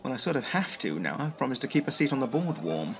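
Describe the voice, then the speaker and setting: Man acting awkward hopeful trader, wry, halting delivery, very varied intonation, audio drama. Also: medium pitch, very animated, music in the background. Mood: resigned. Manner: halting, self-deprecating.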